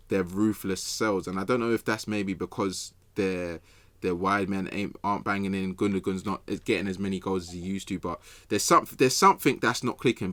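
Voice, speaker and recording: Deep-voiced man chatting casually about sport. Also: a bit bright, no room sound. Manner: colloquial, slangy, repeats phrases for emphasis. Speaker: football podcast pundit.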